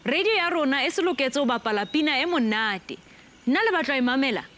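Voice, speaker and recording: A woman's voice: high voice, lively intonation, fast, noisy background. A woman playing a cheerful, encouraging friend to young children, presenting in simple, upbeat phrases. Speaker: children's show presenter character.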